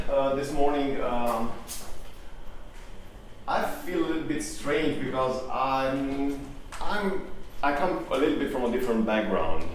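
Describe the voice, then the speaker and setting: A man's voice: mid-range voice, distant mic, a little hiss or hum. Echoey hall talk, man. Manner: hesitant, many ums and restarts. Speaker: conference speaker. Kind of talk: live conference talk. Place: conference hall.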